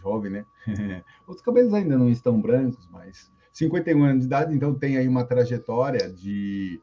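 A man's voice: mid-range voice, animated, a little fast, mellow, breathy edge, muffled recording. A man in his fifties, a coach being interviewed, telling his story in an easygoing way with fillers. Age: 50s. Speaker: guest coach. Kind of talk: casual interview on a live stream.

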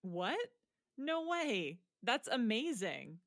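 A woman's voice: on the high side, sing-song, takes it slowly, a bit bright, dry recording, studio quality, full character voice. A woman playing a frank, wry teenage student in a naturalistic audio drama, understated and a little hesitant. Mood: warm, supportive.

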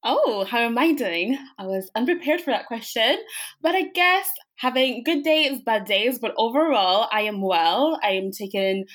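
A woman's voice: on the high side, animated, a little slow, a bit bright. A woman in her twenties from Scotland, born in Zimbabwe, giving reflective, conversational answers as an interview guest. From born in Zimbabwe, grew up in Scotland. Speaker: podcast guest, psychology and counselling graduate.

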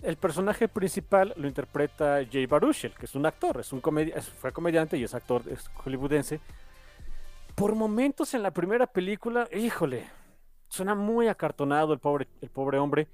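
A man's voice: fairly high pitch, big pitch swings, moderate pace, a little mellow, faint music behind. A man with a Mexican accent hosting a podcast, chatting casually in an enthusiastic, rambling way. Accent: Mexican Spanish. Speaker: podcast host.

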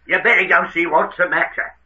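A menacing gangster henchman with a high, piercingly forceful voice. He talks in tough underworld slang. It sounds like a muffled old radio crime serial. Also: fairly even intonation, fairly quick. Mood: alarmed. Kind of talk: 1940s radio adventure acting.

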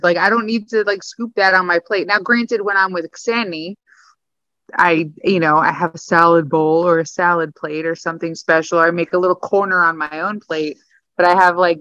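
A woman's voice: mid-range voice, dry recording. Emphatic woman co-host, muffled dry podcast mic. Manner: animated, emphatic, joking. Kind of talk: casual chat. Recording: dull, dry close mic.

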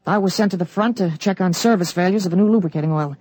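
A man playing a teenage boy with an adult's memories, explaining things calmly and matter-of-factly in a 1940s radio drama. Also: sits high, quick pace, no room sound. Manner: calm, matter-of-fact explaining. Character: teenage boy with an adult mind, calm and knowing.

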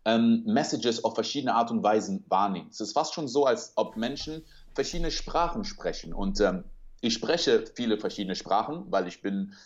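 A man's voice: fairly low pitch, expressive, normal speed, highs cut off. A man whose first language is French, talking as a speaker and coach in a casual interview, earnest and motivational, building long metaphors.

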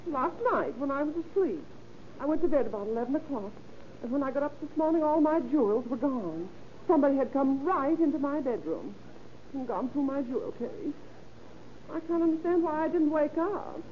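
An actress playing a fussy, prim, flustered genteel widow in an old-time radio drama. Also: female voice, high-pitched, expressive, moderate pace. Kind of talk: 1930s radio drama acting. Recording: tinny, hissy old radio recording.

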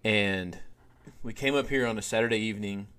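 Lively low-voiced man telling a story. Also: brisk, smooth. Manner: animated storyteller, many fillers. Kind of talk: conversational podcast storytelling.

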